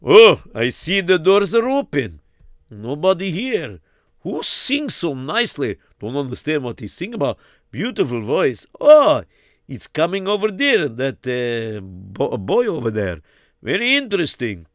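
A man's voice: a little high, wildly swooping pitch, measured pace, no room sound, studio quality. A man voicing a pompous count who speaks in broken English and coaxes grandly. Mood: coaxing. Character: pompous male count, eager nobleman in uniform. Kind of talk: acted storytelling.

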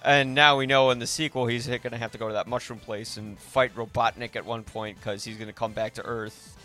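A man's voice: mid-range voice, level tone, fairly quick, pushed and bright, soft background music. A man talking enthusiastically and casually about films as a podcast host. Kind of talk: casual film discussion.

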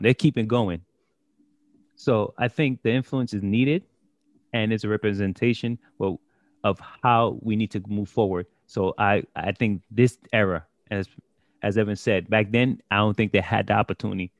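A man's voice: lowish voice, no room sound, clean studio sound. A man in a casual sports discussion, speaking as a podcast panelist.

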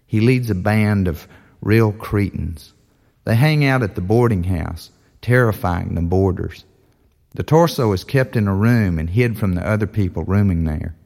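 Low-pitched man narrating over music. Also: vocal fry, darkish tone. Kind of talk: narrated audio story pitching a lurid film treatment.

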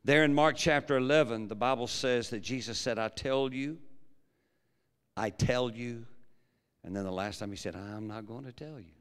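A pastor preaching a sermon with a big, projecting voice that swings from quiet low musing to loud fervent preaching. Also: male voice, normal speed, smooth. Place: large church hall. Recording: long hall reverb.

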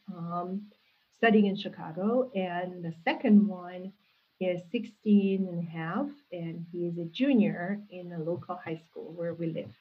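A woman, a guest answering interview questions in a thoughtful, measured way with frequent restarts, heard over a video call. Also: medium pitch, breathy edge. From Hungary. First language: Hungarian. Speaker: guest, linguistics professor. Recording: tinny phone-like call audio.

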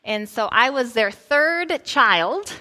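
A middle-aged woman teaching a Bible lesson in a relaxed, conversational way, telling a personal story with fillers and restarts. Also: fairly high pitch, slow. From Italy. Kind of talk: personal story leading into a sermon.

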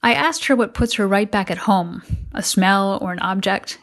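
A woman's voice: medium pitch, moderate pace. A middle-aged woman with an American accent, narrating a personal podcast as its host from a prepared script. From United States.

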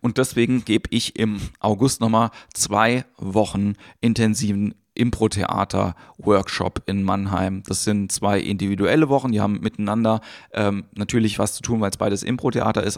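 A man's voice: fairly low pitch, fairly even intonation, normal speed, no room sound, studio quality. A man hosting a podcast, talking in a chatty way with many fillers. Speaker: podcast host. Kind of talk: podcast intro and interviewing.

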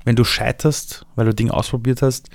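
A man from Iran with a Viennese accent, telling his story in a relaxed interview with lively, animated delivery. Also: medium pitch, normal speed, vocal fry, no room sound. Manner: lively storytelling, shifts voice for quotes.

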